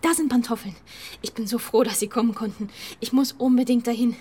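A woman's voice: fairly high pitch, steady tone, a little fast, a little mellow, husky. An actress playing an anxious, apologetic young mother in a naturalistic German radio drama, polite and flustered. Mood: flustered, grateful.

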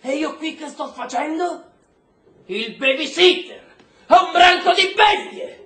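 An actor playing an embittered, volatile army colonel in a radio play, sardonic and mock-polite. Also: male voice, very high-pitched, expressive, measured pace, sharp tone, echoey room, exaggerated voice. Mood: bitter, indignant. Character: embittered, volatile male army colonel running a prison camp. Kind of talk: stage-style radio drama acting.

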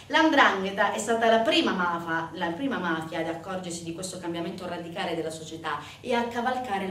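Animated high-voiced woman performing a monologue. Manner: emphatic, direct address to listeners, rhetorical questions. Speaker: stage actress performing a monologue. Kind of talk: theatrical narrative monologue, civic storytelling to an audience. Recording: roomy, distant mic, faint background noise.

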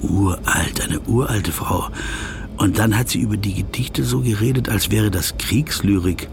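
Disgruntled middle-aged traveller in radio drama: low-pitched, gravelly, pedantic, forever musing over words. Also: male voice, measured pace, background noise. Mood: brooding, musing. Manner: musing, pedantic, talking to himself. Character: disgruntled, brooding, word-obsessed middle-aged male business traveller. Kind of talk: introspective German radio drama monologue.

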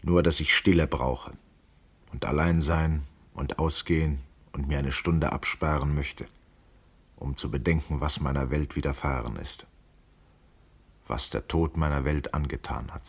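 A man playing a bereaved, brooding figure in a radio play, with a gravelly voice and a halting, pensive, grave delivery. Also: extremely low pitch, steady tone, exaggerated voice. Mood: numb, pensive grief. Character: bereaved, brooding man seeking silence and solitude. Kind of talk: introspective monologue, German radio drama.

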